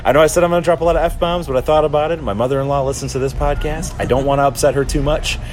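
A man's voice: on the high side, fairly even intonation, racing, darkish tone, slight background noise. A young adult man from Chicago co-hosting a podcast, chatting casually and telling stories with lots of fillers. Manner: rambling, storytelling with fillers. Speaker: podcast co-host. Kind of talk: casual chat.

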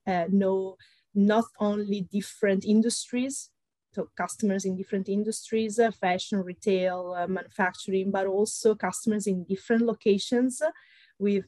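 A woman in her thirties with an Italian accent, answering interview questions thoughtfully with frequent pauses. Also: medium pitch, a little mellow, no echo. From Italy. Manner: thoughtful, many "uh" pauses, light laughs. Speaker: guest, pre-sales consultant.

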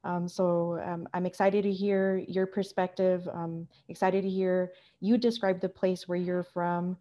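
A woman's voice: lowish voice, flat delivery, unhurried, dark tone. A woman moderating a panel discussion in an informal, conversational way.

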